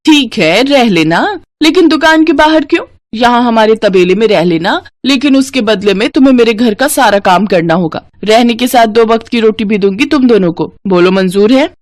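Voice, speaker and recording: A woman's voice: a little high, animated, moderate pace, hardly any pauses, no room sound, close to an everyday voice. Storybook villainess played by a female narrator: greedy, scornful mistress of the house, bossy delivery, close dry sound. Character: mean, greedy rich mistress, merchant's bossy wife. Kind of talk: moral story villain acting.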